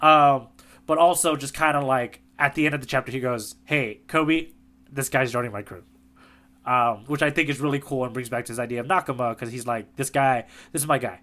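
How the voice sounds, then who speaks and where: A man co-hosting a casual podcast chat, animated and quick to laugh, with lots of fillers. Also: medium pitch. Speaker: podcast co-host, tour guide.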